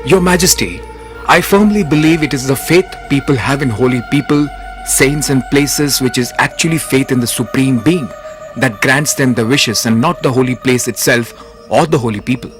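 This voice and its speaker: A man acting a wise, steadfast royal minister in a respectful, earnest manner for an animated moral tale. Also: mid-range voice, normal speed, over music, lightly acted. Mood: firm.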